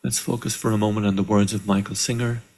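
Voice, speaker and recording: A man leading a guided meditation in a calm, measured way, with deliberate pauses between short phrases. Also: fairly low pitch, gravelly. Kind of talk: guided meditation, slow and instructional. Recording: live online session.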